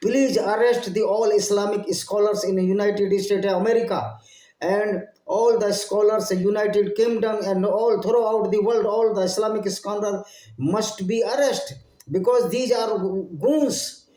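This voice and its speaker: A man from India with an Indian English accent and Hindi as his first language, addressing his channel's viewers in an impassioned solo monologue. He speaks halting English, often repeating and rephrasing his phrases. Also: high-pitched, dark tone, echoey room, poor audio. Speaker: channel host.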